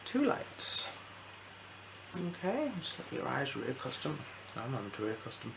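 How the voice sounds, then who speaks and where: Very creaky, soft-spoken ASMR optician voice. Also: medium pitch, sing-song, measured pace, background noise. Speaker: ASMR performer acting as an optician. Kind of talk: ASMR eye exam roleplay.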